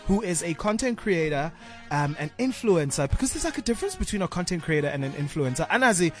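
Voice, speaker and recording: A woman's voice: on the low side, big pitch swings, fast talker, faint music behind. A South African woman hosting a casual radio interview, introducing her guest and putting questions to them. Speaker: radio host.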